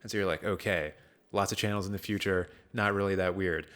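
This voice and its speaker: A man's voice: low-pitched, fairly even intonation, brisk, fairly bright tone. A man hosting a podcast, chatting casually about films in a jokey, self-deprecating way. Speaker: podcast host. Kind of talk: casual film discussion.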